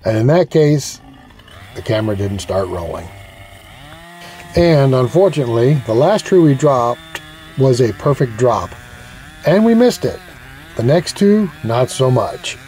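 A man calmly explaining how to cut up a fallen tree, recorded outdoors in a forest on a camera mic. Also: medium pitch, a little slow, long pauses, slight background noise. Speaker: woodcutter host. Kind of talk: instructional outdoor narration.

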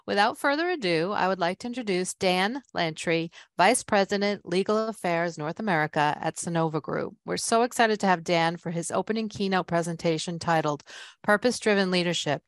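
A woman with a fairly low voice hosts an online event. She reads a scripted welcome in a polished, even way, recorded close to the mic and dry. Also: fairly quick, no echo. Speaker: event host, moderator.

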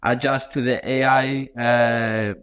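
A man from Europe interviewing a guest, speaking haltingly with many fillers. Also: medium pitch, flat delivery, fairly bright tone, clear, resonant tone. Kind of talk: casual interview talk.

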